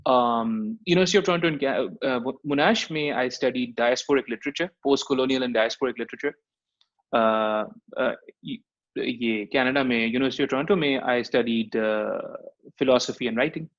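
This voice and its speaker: A man with a Pakistani accent, a podcast guest on a video call, joking and talking in rapid mixed English and Urdu over a headset. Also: medium pitch. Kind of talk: casual video-call interview. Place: room at home, indoors. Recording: dull lo-fi call audio.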